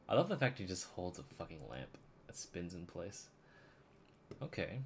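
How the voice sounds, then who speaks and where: A man's voice: sits low, big pitch swings, a little slow, a little hiss or hum. A man giving casual livestream commentary on a game, blunt and slangy, with swearing. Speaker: game streamer. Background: game music.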